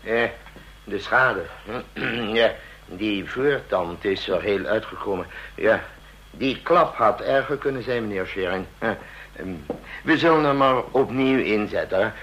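An actor playing an unhurried, deliberate medic in a radio drama, halting and muttering as he examines a patient, on a dull recording. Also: lowish voice, expressive, fairly bright tone, a little hiss or hum. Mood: clinical, deliberate. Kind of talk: Dutch radio drama acting.

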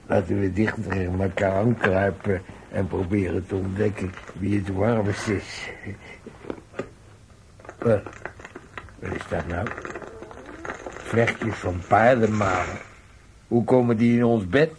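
A man's voice: fairly low pitch, steady tone, slow, long pauses, darkish tone. An actor playing a grumbling, bewildered old woodsman in a radio drama, muttering and repeating himself. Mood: sleepy, puzzled. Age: older. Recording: noisy old radio play recording.

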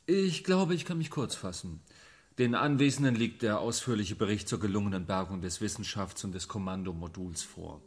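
Husky, matter-of-fact department scientist. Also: male voice, fairly low pitch, expressive, moderate pace. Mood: businesslike. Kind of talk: German radio drama acting, formal report.

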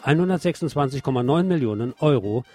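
A man presents scripted news in a mellow, relaxed voice at a middle pitch. Faint music runs beneath him as he moves through reports and pointed commentary. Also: quick pace.

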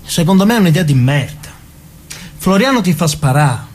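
Male, high voice, as a blunt, skeptical crime sidekick for radio drama, very animated intonation. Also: moderate pace, slight background noise. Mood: exasperated. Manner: blunt, slangy. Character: blunt, foul-mouthed, skeptical criminal sidekick. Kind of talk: naturalistic radio drama dialogue.

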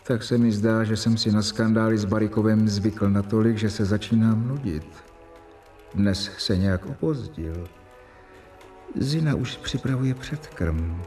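An actor playing a stern, volatile doctor who both narrates and acts in a radio drama. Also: male voice, fairly low pitch, mellow, faint music behind, close to an everyday voice. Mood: reflective narration. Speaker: narrator and character.

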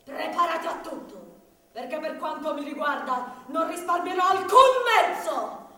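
A woman's voice: high voice, expressive, measured pace, bright, forceful tone, heavily acted. A woman playing a combative, bossy master with commanding, declamatory delivery in commedia dell'arte stage theatre. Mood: defiant, plotting. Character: bossy, combative master claiming the land as their own. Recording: very reverberant hall, distant mic.

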